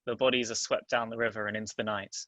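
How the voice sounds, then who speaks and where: Male narrator, bright forceful tone, restrained pitch movement, deliberate, terse, scripted drama reading, dry sound. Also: flat delivery, no echo. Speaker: narrator reading stage directions.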